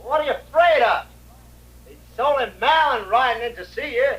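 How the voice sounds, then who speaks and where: An actor playing a sneering, taunting saloon owner, a villain in an old radio western, mocking and theatrical. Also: male voice, very high voice, moderate pace, a bit bright. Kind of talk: 1950s radio western acting. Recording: noisy, humming old radio recording.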